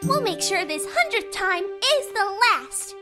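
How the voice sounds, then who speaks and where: A woman's voice: extremely high pitch, sing-song, unhurried, over music, full character voice. A woman voicing a plucky, determined little girl hero with an earnest, spirited manner in a cartoon fan dub. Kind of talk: animated comic fan dub.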